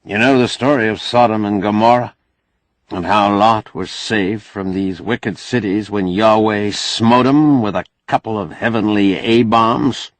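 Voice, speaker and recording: A man's voice: lowish voice, steady tone, a little slow, dry recording, natural voice. A man voicing a cantankerous, learned older man in an audiobook, lecturing in a wry, pedantic, argumentative way. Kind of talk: audiobook character dialogue.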